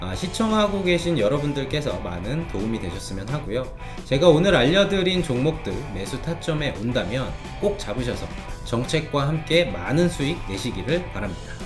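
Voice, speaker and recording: A man presenting stock market commentary with a sales pitch, polite and explanatory, stretching key words for emphasis. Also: big pitch swings, brisk, hardly any pauses, over music.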